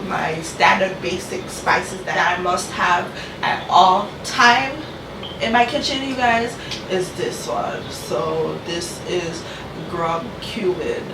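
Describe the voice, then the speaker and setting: A woman hosts a casual cooking vlog, her voice stuffy and nasal from a cold. She keeps checking in with her viewers with "you guys" and "okay". She is recorded from a distance in an echoey kitchen, with a clear hum of room noise behind her. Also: medium pitch. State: congested from a cold, talking through her nose. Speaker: cooking channel host. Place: home kitchen.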